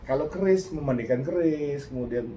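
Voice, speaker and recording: An older Indonesian man giving a casual reaction talk, reflective and earnest, pausing and restarting often. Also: a little high, mellow, a little hiss or hum, low-quality recording. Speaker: host.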